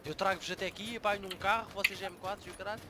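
A young man, chatting casually with friends while playing an online game, swinging between mumbled asides and loud outbursts. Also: sits high, steady tone, rapid-fire. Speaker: gamer filming his squad. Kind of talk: casual chat while gaming.

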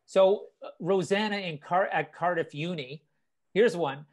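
A man hosting and moderating a live online interview, reading out audience questions in a relaxed, agreeable way. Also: high voice, unhurried. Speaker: event host, moderator.

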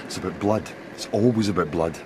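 An actor playing a grim, resolute leader of a trapped Antarctic research crew in a radio drama, terse and commanding. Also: male voice, low-pitched, brisk, natural voice. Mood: grim, controlled. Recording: noisy drama soundscape, steady ambience.

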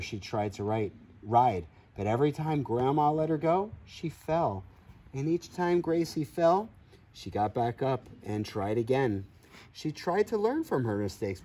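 A man in his forties reading a children's story aloud outdoors, expressive, with playful asides. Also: a little high. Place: outdoors on grass in a farm field.

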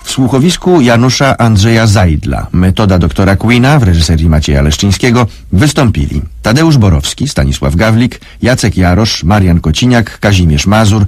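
Husky male radio announcer. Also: fairly low pitch, lively intonation, normal speed, hardly any pauses, a little mellow, dry recording. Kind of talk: scripted credits announcement.

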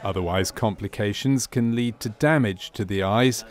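A man's voice: lowish voice, normal speed. A man reading scripted narration for a medical training film.

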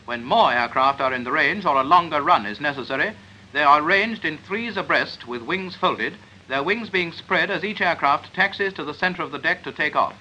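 A man's voice: a little high, normal speed, pushed and bright. A British man reading a scripted 1940s instructional film narration in a clipped, explanatory manner. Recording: noisy 1940s film soundtrack.

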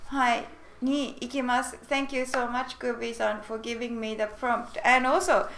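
A high-pitched woman with a Japanese accent teaches a live online language class in an echoey room. She is a bubbly native Japanese speaker who chats with her viewers as she goes. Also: steady tone, moderate pace, a bit bright, slight background noise. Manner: bubbly, giggly, switches between languages. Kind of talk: live-streamed lesson with chat greetings.

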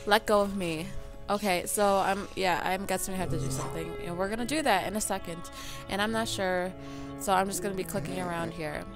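A woman commentating on her let's play, a little raspy from just waking up, playful and mock-outraged at the game. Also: medium pitch, fairly even intonation, a little fast. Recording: game music loud under her voice.

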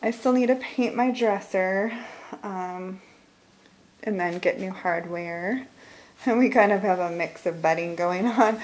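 A woman's voice: medium pitch, a little slow, a little mellow, low-quality recording. A woman in her forties with a Michigan accent, chatting casually as she films a tour of her house, laughing often and trailing off mid-thought. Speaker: vlogger. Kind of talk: casual home tour vlog. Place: house, indoors, moving through rooms.

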